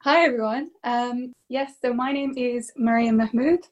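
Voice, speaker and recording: Chatty woman with a higher voice. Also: normal speed, highs cut off. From Ireland. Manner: chatty, anecdotal, acts out quoted voices. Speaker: guest researcher. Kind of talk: panel discussion on a video call.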